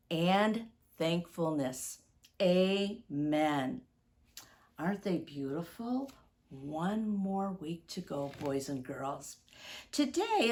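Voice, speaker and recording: Slow, expressive woman. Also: medium pitch. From United States, Midwest. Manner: deliberate, expressive, addressing young children. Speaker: children's story-time teacher. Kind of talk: storybook reading and chat for young children.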